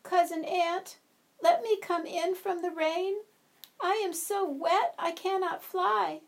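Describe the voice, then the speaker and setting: Brief: woman doing a delicate butterfly, sweet and coaxing, high voice. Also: steady tone, takes it slowly, full character voice.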